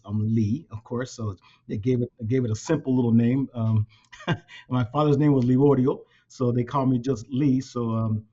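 A man's voice: mid-range voice, mellow. A man in his fifties, a native Spanish speaker raised in California, talking as a company president in a casual interview, with many fillers and restarts. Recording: dull lo-fi sound.

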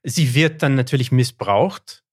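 A man's voice: medium pitch, unhurried, breathy edge, dry recording. Canadian man speaking German, dry close sound. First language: English. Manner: thoughtful, hesitant with frequent fillers and restarts. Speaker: guest historian. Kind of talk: podcast interview.

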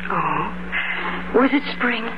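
An actress playing a dreamy, romantic young minister's daughter, earnest and lyrical, in a 1950s radio drama. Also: female voice, fairly high pitch, lively intonation, slow, background noise. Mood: eager, sweet. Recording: dull vintage radio recording with music. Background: loud music.

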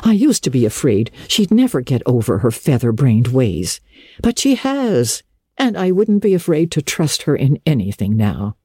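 A woman's voice: very deep voice, huge pitch swings, normal speed, dark tone, husky, dry recording, clean studio sound, full character voice. A woman voicing a practical, stern older farm woman, plain-spoken and matter-of-fact, in a storybook reading. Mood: satisfied.

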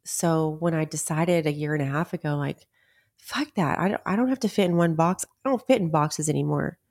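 A middle-aged woman hosting a solo podcast, her voice raspy because she is nearly losing it. She talks bluntly and swears freely. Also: deep voice, fairly quick, a little mellow, no room sound, clean studio sound. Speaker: podcast host. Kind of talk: casual solo podcast talk.

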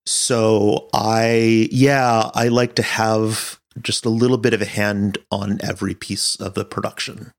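An American man with a fairly low voice speaks casually in an interview. He explains things in a reflective, conversational manner, as a director talking about his craft. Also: level tone, unhurried, hardly any pauses. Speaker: director and producer being interviewed.

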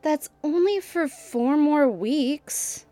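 An actress playing a spoiled young former child star in an audio drama, with an entitled, petulant manner. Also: female voice, high voice, expressive, takes it slowly, dark tone, faint music behind. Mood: demanding. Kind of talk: scripted audio drama acting.

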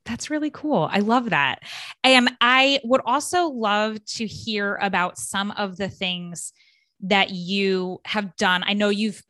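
A woman's voice: mid-range voice, takes it slowly, pushed and bright, no room sound, clean studio sound. A woman hosting a podcast interview, chatty and casual with lots of filler words. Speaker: podcast host.

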